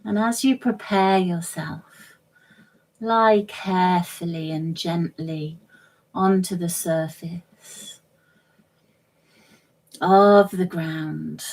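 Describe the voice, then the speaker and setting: A woman guides a relaxation session in a gentle, soothing manner. Her voice sits a little lower than average for a woman. She moves extremely slowly, placing short, measured phrases between long pauses, as a yoga nidra teacher does. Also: rough recording. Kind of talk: guided meditation, relaxation instruction.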